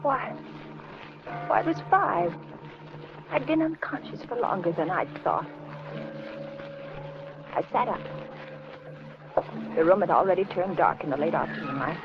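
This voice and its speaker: An actress playing an anxious captive housewife in a 1940s radio thriller, halting and melodramatic in both dialogue and narration. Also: female voice, lowish voice, expressive, music in the background. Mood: tense narration. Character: terrified, pleading housewife held captive. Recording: muffled, noisy vintage radio recording.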